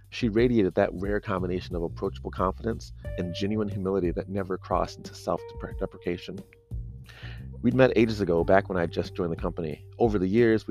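A man's voice: sits low, vocal fry, darkish tone. A man narrating a serialized audio story in the first person, in a conversational, dry and wry manner.

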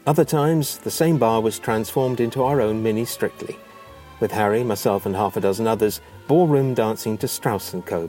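A man reads a scripted memoir in a low, mellow voice. His delivery is measured and theatrical, shaped as storytelling, with faint music underneath. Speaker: narrator reading a memoir.

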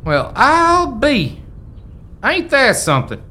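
High-pitched folksy old rancher ghost. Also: male voice, huge pitch swings, slow pace, pushed and bright, slight background noise, heavily acted. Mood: proud, reminiscing. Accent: American. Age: older. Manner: folksy old-timer drawl with rustic phrasing. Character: folksy, forgetful old male ghost of a gold-rush rancher. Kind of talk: family radio play acting.

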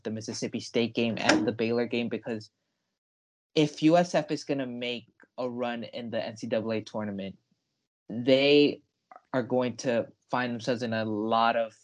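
A young man co-hosting a sports podcast, talking casually with emphatic, enthusiastic delivery. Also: mid-range voice.

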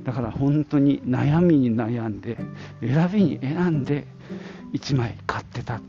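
Male podcast host, middle-aged, reflective and conversational, gravelly edge, slightly dull lo-fi recording. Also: mid-range voice, unhurried, mellow. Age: middle-aged or older. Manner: reflective, conversational, thinking aloud. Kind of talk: casual solo reminiscing. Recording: dull lo-fi audio, prominent music. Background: music.

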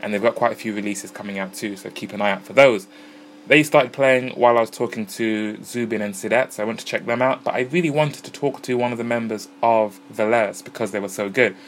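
Easygoing podcast host, low voice. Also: male voice, quick pace. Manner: chatty, easygoing, self-deprecating. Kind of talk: casual podcast narration and interviews. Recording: noisy pub smoking area with crowd.

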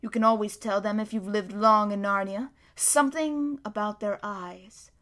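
A woman reading aloud voicing an earnest, protective male beaver who speaks slowly and with weight. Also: mid-range voice, fairly bright tone, close to an everyday voice. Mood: urgent.

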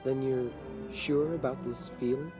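A man's voice: medium pitch, steady tone, measured pace, close to an everyday voice. A young man with an American accent playing an eager, naive hero in a radio drama, earnest and informal. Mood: uneasy. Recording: radio drama with music behind.

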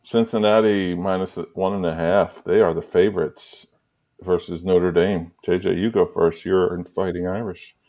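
Male podcast host, lower-pitched, casual sports banter with teasing humor. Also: fairly even intonation, moderate pace, darkish tone. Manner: joking, teasing.

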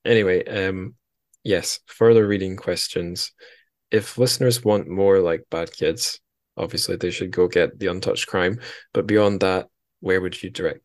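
Guy hosting a podcast, deep-ish voice, dry humor, casual chat, no room echo. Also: fairly even intonation, a little slow. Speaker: podcast host.

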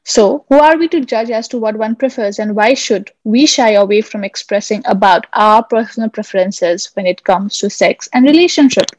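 A woman's voice: medium pitch, normal speed, few pauses, a little mellow, dry recording, low-quality recording. A woman co-hosting a podcast in a mostly scripted presenting style. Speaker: podcast co-host.

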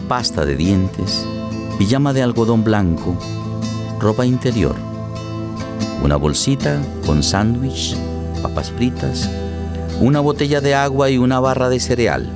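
An actor playing a grave, solemn narrator in a tragic radio play, with deliberate phrasing. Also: male voice, mid-range voice.

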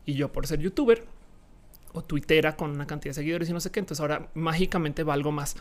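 A woman's voice: deep voice, fast, a little mellow. A young woman from Colombia, a YouTuber guest, speaking frankly in a casual interview and slipping into acted quotes.

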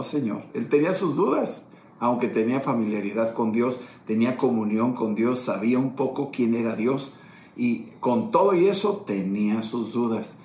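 A man's voice: mid-range voice, darkish tone, a little hiss or hum. A middle-aged man pastor teaching a sermon, explaining and retelling scripture passages. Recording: echoey room, faint ambience.

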